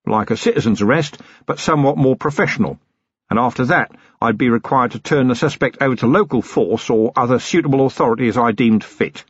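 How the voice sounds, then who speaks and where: Brief: narrator as a dry-witted copper, husky, formal and measured. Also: male voice, mid-range voice, no room sound, pitched above the performer's own voice, natural voice. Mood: wry. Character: dry, wry middle-aged male city policeman. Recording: dry, slightly dull recording.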